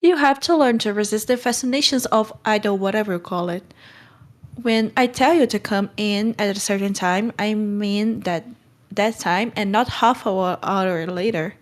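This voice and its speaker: A woman's voice: mid-range voice, moderate pace, lightly acted. A woman playing a stern but kind middle-aged guardian in a storybook read-along, brusque and scolding. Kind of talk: acted audiobook read-along.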